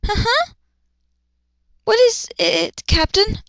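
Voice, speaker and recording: Woman acting timid, high-voiced young female soldier. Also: expressive, takes it slowly, vocal fry, a bit bright, no room sound, studio quality, heavily acted. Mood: startled, shy. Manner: stammering, timid. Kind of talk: fan fiction dramatic reading.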